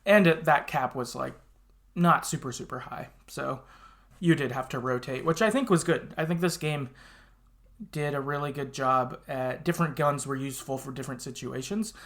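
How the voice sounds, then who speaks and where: A man's voice: mid-range voice, moderate pace, fairly bright tone. Casual male podcast host. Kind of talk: casual gaming podcast chat.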